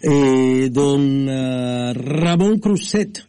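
A man's voice: mid-range voice, animated, extremely slow, dark tone, soft background music. A man hosting a casual interview, chatty, chiming in with small jokes and explanations. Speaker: interviewer, host.

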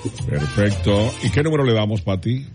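A man's voice: on the low side, sing-song, fairly quick, a little mellow. A man hosting a live radio fundraiser with callers, speaking in an exclamatory, festive, teasing way. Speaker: radio host. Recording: music behind the voice. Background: music.

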